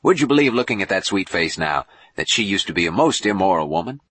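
Man acting a brash, booming bishop, swinging pitch. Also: sing-song, fast talker, a bit bright, slightly rough, no room sound, full character voice. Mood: jovial, boastful. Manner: booming, folksy, salesman-like. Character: brash jovial bishop, a slick hard-selling showman. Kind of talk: audiobook character voice.

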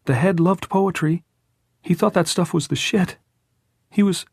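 A man voicing an animated, brittle adolescent schoolboy in an audiobook, with eager, urgent speech. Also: sits high, unhurried, husky, no room sound, studio quality, voice pushed up, heavily acted. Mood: grieving. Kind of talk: audiobook character voice.

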